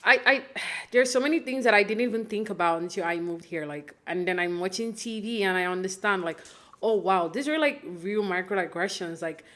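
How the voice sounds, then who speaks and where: A woman's voice: mid-range voice, animated, moderate pace, pushed and bright. A young adult woman from Nigeria giving a casual, chatty recap of a reality show, full of fillers and restarts and acting out quotes.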